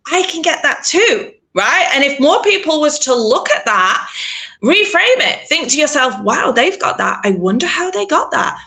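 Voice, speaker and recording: A woman with a bright, clear voice talks through an interview at a lively clip. She is emphatic and animated, slipping into little acted-out voices for her own thoughts. Middle-aged, casual and direct. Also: high-pitched, normal speed. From England. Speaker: PR consultant guest.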